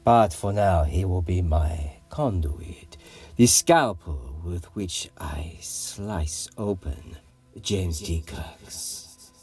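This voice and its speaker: Male actor as cold, proud warlord for an audio drama, grandiose and deliberate, with highly dynamic delivery over music. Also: lively intonation, darkish tone, slightly rough, heavily acted. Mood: imperious. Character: proud, genetically superior warlord captor, cold and imperious. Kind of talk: dramatic audio comic acting.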